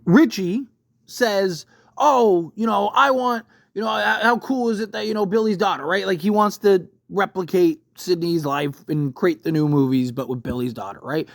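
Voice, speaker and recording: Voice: medium pitch, normal speed, vocal fry, dry recording. Dry-sounding, emphatic casual video commentator. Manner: conversational, emphatic, repeatedly checking in with rhetorical questions. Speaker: YouTube host giving film commentary. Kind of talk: casual opinionated rant to camera.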